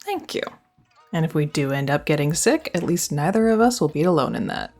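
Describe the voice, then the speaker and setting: Young woman doing a girlfriend roleplay, low voice w some fry, soft music behind. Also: lively intonation, fairly quick. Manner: affectionate, teasing, reassuring. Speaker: audio roleplay performer.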